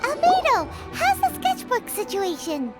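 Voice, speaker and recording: Start with a squeaky, extremely high little fairy. She is a cheeky, excitable game companion who chatters in big, swooping, childlike tones. Music plays behind her. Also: a little slow, heavily acted. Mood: chatty. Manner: perky, exaggerated, refers to self in third person. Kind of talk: English dub video game voice acting.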